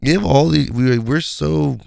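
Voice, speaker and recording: A man hosting his podcast in a casual, rambling way, his voice raspy from a sinus infection. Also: fairly high pitch, measured pace, darkish tone, dry recording, studio quality. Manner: rambling, conversational, many fillers. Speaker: podcast host. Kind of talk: casual solo podcast chat.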